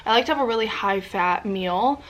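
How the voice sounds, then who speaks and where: A woman's voice: mid-range voice, brisk, a bit bright, poor audio. A young woman chatting in a home vlog, sniffly and congested, rambling in a bubbly, casual way. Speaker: lifestyle vlogger. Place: indoors at family home.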